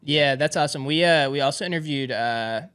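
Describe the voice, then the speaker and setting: A man's voice: a little high, a little fast, a bit bright, clear, resonant tone. A young man interviewing in a casual, enthusiastic, rambling and informal way. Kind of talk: casual chat.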